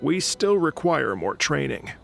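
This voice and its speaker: A man's voice: a little high, very animated, measured pace, vocal fry, over loud music, full character voice. A man playing an earnest, proud sword disciple, speaking formally and solemnly in dramatic video game style. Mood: humbled, earnest. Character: earnest, proud young male sword disciple, senior student.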